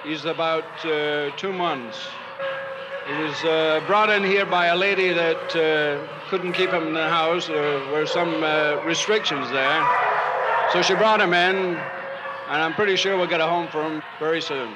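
A man working as an animal shelter attendant, explaining things informally in a halting, self-correcting way. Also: fairly high pitch, steady tone. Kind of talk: unscripted explanation to a visitor. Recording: noisy 1950s portable tape on location.

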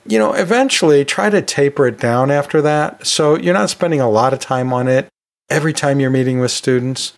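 Man hosting with very animated, swooping intonation. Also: mid-range voice, gravelly. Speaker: podcast host and anatomy and physiology teacher. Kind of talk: solo educational podcast advice talk.

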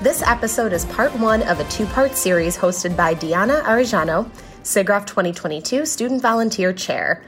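A woman's voice: medium pitch, lively intonation, moderate pace, fairly bright tone, soft background music. A woman reading a polished, scripted show introduction. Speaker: show announcer.

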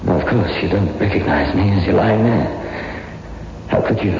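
An actor playing a tough young crime reporter who is terrified and near cracking, in a melodramatic 1960s radio horror drama. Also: male voice, low-pitched, normal speed, vocal fry, a little hiss or hum. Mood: haunted, tense. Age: young adult. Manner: melodramatic, swinging from hushed narration to frantic shouting. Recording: muffled, echoey old radio recording with music.

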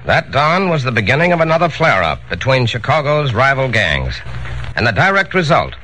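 A man's voice: medium pitch, very animated, moderate pace, pushed and bright. A man as a grave crime chronicler, giving formal, dramatic narration on a 1940s radio show. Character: grave male crime chronicler recounting gangland history. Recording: faintly noisy 1940s radio recording.

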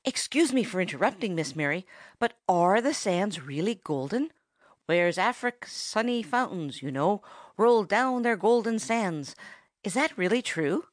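A woman voicing an eager, good-natured young boy in a storybook, polite and excitable. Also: mid-range voice, unhurried, no echo, studio quality, lightly acted.

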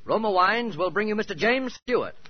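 High, dramatic male radio announcer. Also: animated, brisk, slight background noise. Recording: muffled 1940s radio broadcast, faint music.

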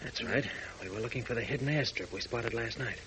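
An actor playing a steady young male ace pilot hero in a 1940s radio drama, calm and clipped. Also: medium pitch, fairly quick, natural voice. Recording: noisy 1940s radio transcription.

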